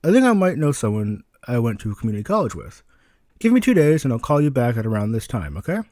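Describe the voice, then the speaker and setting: A man voicing a gentle older male family friend in a story, with a steady, reassuring manner. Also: medium pitch, quick pace, dry recording, clean studio sound, close to an everyday voice. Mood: solemn, reassuring.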